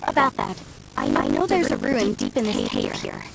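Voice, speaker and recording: A woman's voice: mid-range voice, wildly swooping pitch, normal speed, gravelly, exaggerated voice, rough recording. An actress playing an earnest, nervous young woman researcher in a video game, hesitant and often stammering over repeated words. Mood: earnest, explaining. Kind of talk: video game character dialogue. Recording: loud music behind the voice.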